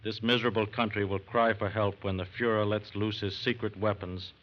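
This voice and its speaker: An actor playing a sober, methodical, businesslike FBI agent in an old-time radio drama. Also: male voice, fairly low pitch, level tone, fairly quick, lightly acted. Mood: grave. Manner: businesslike, clipped, authoritative. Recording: muffled, slightly noisy old radio recording.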